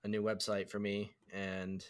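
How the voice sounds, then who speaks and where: A young man co-hosting a casual podcast, easygoing and quick to laugh. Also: lowish voice, completely flat delivery. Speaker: podcast co-host. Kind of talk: casual chat.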